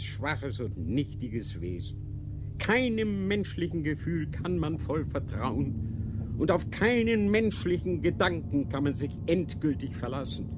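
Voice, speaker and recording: Stern dogmatic inquisitor, slow didactic delivery, radio play, clear background ambience noise. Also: male voice, fairly high pitch. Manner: measured, didactic, rhetorical questioning. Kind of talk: literary radio drama acting.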